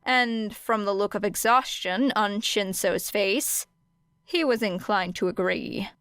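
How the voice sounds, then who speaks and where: A woman narrates fiction at an unhurried pace. Her voice is smooth and clear, in a very dry, close recording. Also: mid-range voice, a bit bright, no room sound. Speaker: audiobook narrator.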